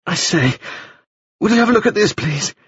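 A high, bright, feverish young gentleman. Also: expressive, moderate pace, slightly rough, no echo, muffled recording, exaggerated voice. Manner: prim, overly courteous, dazed. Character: young wounded English gentleman, feverish, polite.

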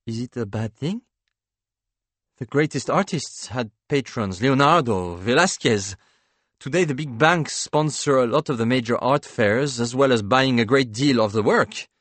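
A man's voice: mid-range voice, animated, unhurried, fairly bright tone, dry recording, pitched above the performer's own voice. A man playing a polite, lovelorn banker in an audiobook, formal and earnest in his speech. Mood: pressing.

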